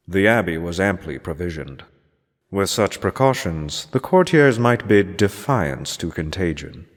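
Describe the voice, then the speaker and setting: A man with a very low voice narrates a classic gothic horror story in a measured, theatrical way. His formal delivery rings out in a long, cavernous reverb. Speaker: amateur reader of a horror story. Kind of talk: dramatic scripted narration of gothic literature.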